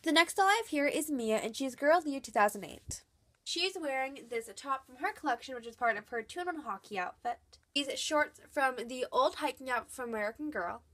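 Young girl with a bit of a cold, high voice, upbeat chatty doll outfit voice-over. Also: lively intonation, fairly quick, a bit bright. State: has a cold. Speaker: doll collector vlogger.

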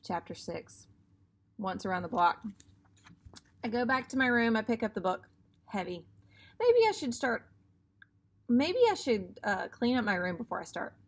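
Woman reading aloud, high lively voice. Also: normal speed, long pauses, slightly rough. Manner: expressive, acting out dialogue. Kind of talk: children's book read-aloud.

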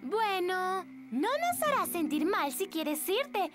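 An actress playing a perky, scheming young girl in a children's cartoon, with an expressive, dramatic delivery. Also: extremely high pitch, slow, over music, heavily acted. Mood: coaxing.

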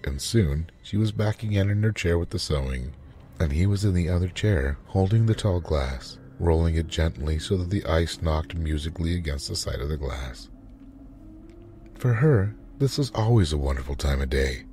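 A man narrating a scripted story in a measured, dramatic manner. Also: sits low, expressive, dark tone, slight background noise.

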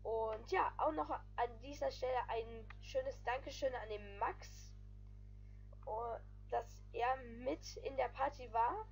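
A woman talks over her gameplay video in an easygoing, rambling way, tying thoughts together with filler phrases. Her voice sits a little high. Soft music plays quietly underneath. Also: takes it slowly, low-quality recording. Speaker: gaming video creator. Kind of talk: casual voice-over commentary.

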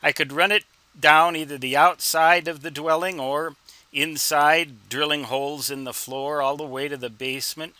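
A man teaches informally in a very sharp, bright voice that sits a little high. His phrasing is deliberate, with a wry, folksy humor. The sound is dry, as from a close microphone. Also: steady tone, clean tone, dry recording. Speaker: instructor answering reader questions. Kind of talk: informal explanatory tutorial.

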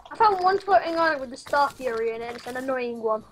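A very high-voiced woman rattles off bubbly chatter during a casual gaming session. Her delivery races along with a lively, swooping pitch, and a faint hiss sits behind. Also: fast. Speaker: game player.

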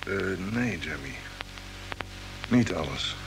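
Troubled space commander in old dutch radio play, insistent, voice swings up and down, noisy old tape. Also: male voice, sits low, lively intonation, takes it slowly, vocal fry, full character voice. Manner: urgent, emphatic, pressing questions.

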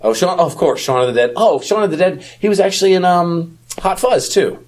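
A middle-aged man chatting casually about films as a podcast co-host, talkative and quick to laugh. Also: sits high, lively intonation, darkish tone.

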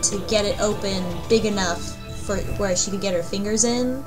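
A woman's voice: medium pitch, moderate pace, music in the background, poor audio. A woman chatting casually as a panelist on a podcast. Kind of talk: casual chat.

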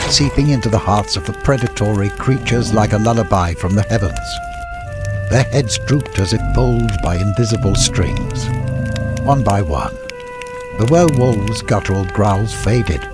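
A man reading a scripted fantasy story as an audiobook narrator. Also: lowish voice. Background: music.

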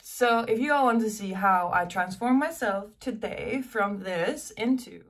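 A woman's voice: medium pitch, a little slow, distant mic. A young woman who is losing her voice to a cold, chatting casually to camera about makeup, saying "you guys" often. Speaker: beauty vlogger. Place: bedroom with clothes rack behind.

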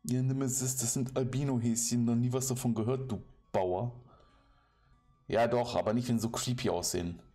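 A man's voice: medium pitch, steady tone, moderate pace, mellow, soft background music. A German man giving casual, playful commentary while playing a game, chatting to the animals and villagers he meets. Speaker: let's play commentator.

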